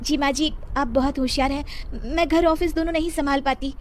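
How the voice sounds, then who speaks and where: A woman voicing a polite, pleading young working wife and daughter-in-law, soft-spoken and deferential, in a story. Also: sits high, fairly even intonation, fairly quick, pitched above the performer's own voice, close to an everyday voice.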